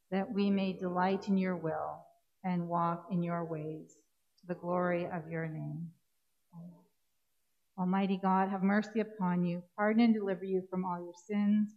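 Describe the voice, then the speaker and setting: Woman priest intoning prayers, solemn. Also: lowish voice. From Canada. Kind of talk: liturgical reading. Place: church sanctuary with congregation. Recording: dull lo-fi livestream sound.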